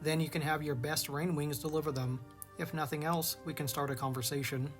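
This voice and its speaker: A man playing an earnest young dragon strategist in an audio drama, reasoning things out in measured phrases. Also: on the high side, flat delivery, over music, close to an everyday voice. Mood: thoughtful, eager.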